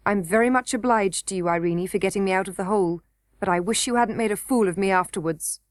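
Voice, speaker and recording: A woman's voice: medium pitch, fast talker, no room sound, studio quality, natural voice. A woman voicing a blunt, vexed young miner boy who speaks curtly and rudely. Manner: curt and rude.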